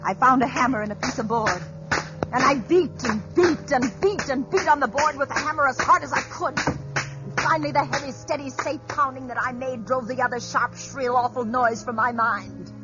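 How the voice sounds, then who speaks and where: A muffled old radio recording with loud music carries a high-pitched woman played by an actress. She is a brittle, frantic soul tormented by noise, speaking with precise, dramatic tension. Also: normal speed, close to an everyday voice. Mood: rising dread. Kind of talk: 1940s radio drama acting, first-person narration.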